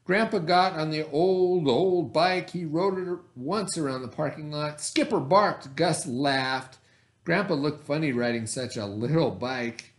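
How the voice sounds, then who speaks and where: A man speaking as a teacher to children, expressive and encouraging, with dramatic emphasis and pauses. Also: on the high side. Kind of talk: expressive read-aloud for children.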